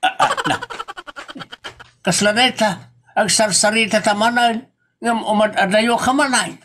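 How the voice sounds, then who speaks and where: A man's voice: high voice, measured pace, bright, forceful tone. A man playing an irritable older villager in a comedy drama, grumbling and exclaiming in an Ilocano accent. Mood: irritated.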